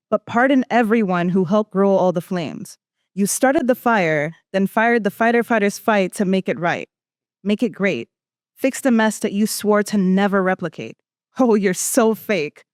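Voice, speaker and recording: Young woman, mid-pitched voice, dry close sound, accusatory spoken-word poetry, emphatic. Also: dry recording. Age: young adult. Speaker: student poet.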